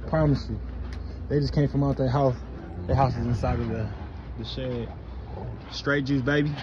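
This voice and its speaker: A man talks casually to viewers and family as he films a home vlog on a handheld phone. His manner is playful and encouraging. The recording carries clear background noise. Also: medium pitch, fairly even intonation, moderate pace, highs cut off. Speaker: vlog host. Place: at home, indoors and out in the yard.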